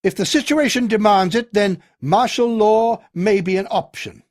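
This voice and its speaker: A man's voice: sits high, moderate pace, a little mellow, pitched above the performer's own voice, close to an everyday voice. A man voicing a tired but commanding army colonel, speaking in a clipped, authoritative way and raising his voice over a crowd. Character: commanding male army colonel, stern and weary.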